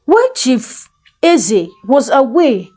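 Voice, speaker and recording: A woman storyteller with a fairly high voice. She narrates a folktale slowly and deliberately, with lively swings of pitch and a soft, mellow tone. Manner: slow, expressive, deliberate storytelling. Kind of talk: dramatic scripted folktale narration.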